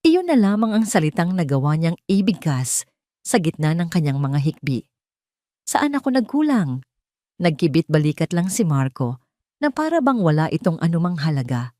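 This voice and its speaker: A woman tells a dramatic audio love story as its narrator. Her voice sits a little low but moves through lively swings of pitch, with a dry, close sound. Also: normal speed, no echo.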